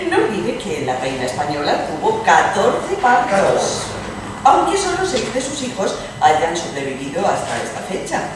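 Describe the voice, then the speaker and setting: A woman playing a sharp-tongued, teasing princess in a dramatized radio theatre reading, speaking with lively irony. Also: lowish voice, unhurried. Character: witty, sharp-tongued princess in salon debate. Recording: very noisy, echoey, distant room.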